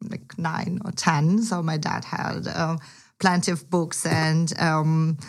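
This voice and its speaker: A woman's voice: low voice, flat delivery, takes it slowly, vocal fry. A woman from Ukraine with a Ukrainian accent, co-hosting a casual interview chat about investing and often restarting her sentences. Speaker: podcast co-host, angel investor.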